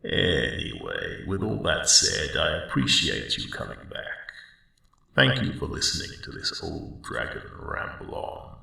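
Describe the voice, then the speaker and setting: A man voicing a friendly, elderly male dragon in an ASMR roleplay, rambling slowly and wryly in a deep, gravelly rumble. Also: huge pitch swings, full character voice. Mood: mellow, friendly. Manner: slow, rambling, reflective, gently wry. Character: friendly ancient male dragon, mellowed guardian of a spring. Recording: roomy cave-like echo, distant sound.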